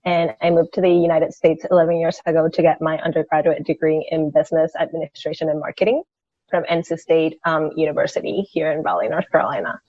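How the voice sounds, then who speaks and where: A woman's voice: low-pitched, steady tone, fast, mellow, dry recording, lo-fi sound. A woman in her thirties from Venezuela, answering interview questions conversationally and informally, often saying "like". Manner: informal, peppers sentences with "like". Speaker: interview guest, senior financial analyst.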